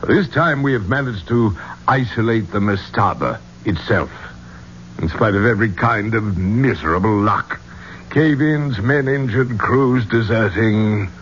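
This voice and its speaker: An actor playing an obsessed, superstitious gentleman archaeologist in a 1970s radio drama, with a grandiloquent, courtly way of speaking. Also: fairly low pitch, animated, unhurried. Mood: earnest. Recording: dull, noisy 1970s radio recording.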